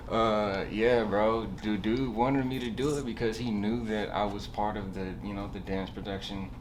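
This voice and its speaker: A young man talks in a low voice. He rambles casually, hesitating and leaning on slang, like a guest telling his own story. The recording has clear background noise. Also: fairly even intonation, moderate pace. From Southern California, USA. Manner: slangy, rambling, hesitant, punctuated with bro and you know. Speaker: podcast guest, barber.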